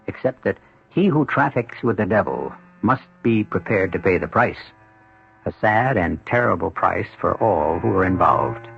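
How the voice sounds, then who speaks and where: Gravelly, solemn male radio host. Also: lowish voice, slight background noise. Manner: deliberate, ominous. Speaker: host and narrator. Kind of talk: solemn dramatic radio narration. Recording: dull 1970s radio recording.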